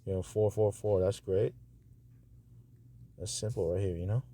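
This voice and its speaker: A man hosting a casual review of voice-over auditions, speaking in halting, repetitive asides as he goes. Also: low-pitched, fairly even intonation, mellow, husky. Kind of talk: casual screen-recording commentary.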